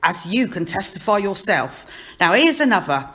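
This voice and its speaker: A woman's voice: medium pitch, very animated, moderate pace, pushed and bright. A woman voicing a strange, teasing boar man who tells riddling tales in a storybook. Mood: teasing, wry.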